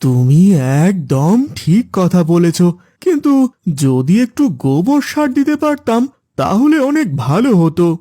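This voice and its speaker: A man's voice: high voice, very animated, measured pace, dark tone, no echo, studio quality, exaggerated voice. A man voicing a kindly, helpful village vegetable farmer in a cartoon story, agreeable and earnest. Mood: agreeable, sympathetic. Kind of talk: dramatised cartoon story dialogue.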